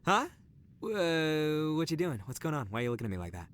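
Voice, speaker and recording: Brash, bright, boisterous young guy. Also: fairly high pitch, sing-song, fairly quick, a little hiss or hum. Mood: confused. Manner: loud, slangy, exaggerated. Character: brash, boisterous, dim-witted but cheerful young man. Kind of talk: video game character acting.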